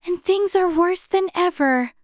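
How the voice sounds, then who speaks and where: A woman's voice: very high voice, a little slow, no echo, clean studio sound. A woman voicing an anxious, chatty little girl in a dramatized storybook, fretfully talking to herself in prim childish exclamations. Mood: upset. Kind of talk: dramatized audiobook character acting.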